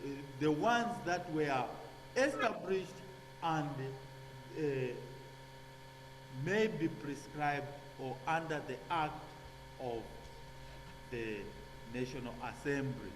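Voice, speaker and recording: A man giving a classroom lecture, halting with many fillers and restarts, checking in with "okay?". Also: fairly high pitch. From Zambia. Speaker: nursing lecturer. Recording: echoey hall with hum.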